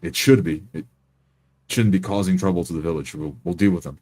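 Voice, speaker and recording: A man with a very low-pitched voice takes part in a tabletop roleplay. He argues his character's case firmly and insistently, and describes his moves in the same deep register. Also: a little fast, warm tone.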